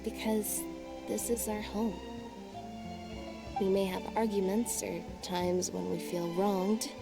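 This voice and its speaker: Calm, reserved young woman. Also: medium pitch, gravelly. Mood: heartfelt. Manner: even, composed, measured. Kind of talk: video game voice acting. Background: game music.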